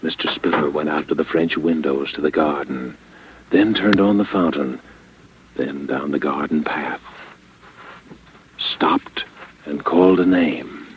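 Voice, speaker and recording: Deep-voiced, cold scheming murderer. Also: male voice, phone-quality sound, slight background noise. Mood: tense scheming. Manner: theatrical, brooding, halting self-talk. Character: cold, desperate male murderer scheming his perfect crime. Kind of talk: vintage radio drama inner monologue. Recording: band-limited old radio recording with music behind.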